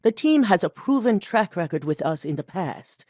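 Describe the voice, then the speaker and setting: An actress voicing a cool, calculating female corporate executive in a game, speaking in a composed, measured, authoritative way. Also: fairly low pitch, sing-song, a little mellow, no echo, studio quality. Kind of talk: game voice acting.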